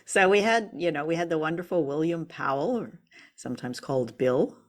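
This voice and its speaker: A woman's voice: sits low, animated, moderate pace. A woman co-hosting a show, casually discussing old-time radio in a chatty, enthusiastic way. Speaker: podcast co-host.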